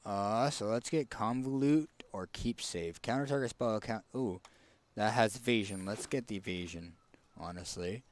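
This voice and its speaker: A man with a raspy, hoarse voice casually commenting on a card game as he picks cards, thinking aloud with fillers and restarts. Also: on the low side, moderate pace. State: hoarse, tickly throat. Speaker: streamer.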